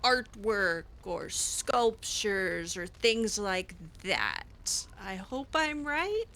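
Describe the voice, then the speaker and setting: A woman's voice: medium pitch, very animated, very slow, sharp tone, slight background noise. A woman improvising in character over a fantasy game, speaking casually with a hesitant, sassy manner. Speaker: game streamer roleplaying her character.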